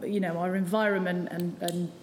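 A woman addressing a live audience, pausing often and restarting her sentences. Her voice echoes around a roomy hall with a long reverb. A faint noise hums underneath. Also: fairly low pitch, flat delivery, a little mellow. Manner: hesitant, many restarts and ums. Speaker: campaign speaker. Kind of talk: hesitant speech to a live audience.

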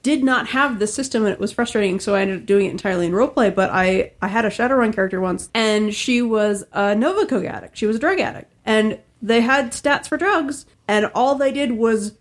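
A woman's voice: on the high side, fairly quick, few pauses. A woman co-hosting a podcast in a casual, conversational style. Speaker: podcast co-host. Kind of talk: casual chat.

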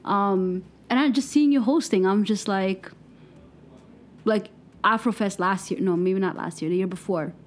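A woman interviewing on a podcast, chatty and encouraging, with quick interjections. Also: medium pitch. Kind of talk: casual podcast conversation.

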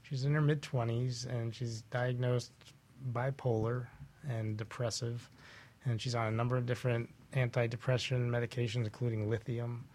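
A man's voice: mid-range voice, level tone, normal speed, darkish tone. A man telling an anecdote conversationally as a talk show guest. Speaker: guest, doctor.

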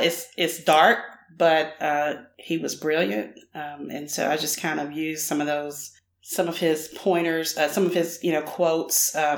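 A woman's voice: low-pitched, gravelly. A middle-aged woman from Nashville, a podcast guest, answering in a candid, casual way with many fillers. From Nashville, Tennessee. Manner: frequent fillers, thoughtful and candid. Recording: roomy echo.

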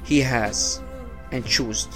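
A man's voice: mid-range voice, steady tone, over music. A man from Egypt who is not an English speaker hosts a podcast, giving a motivational religious talk with plain, repeated phrases. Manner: unhurried, repeats phrases, non-native English. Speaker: podcast host. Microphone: small low-cost podcast setup.

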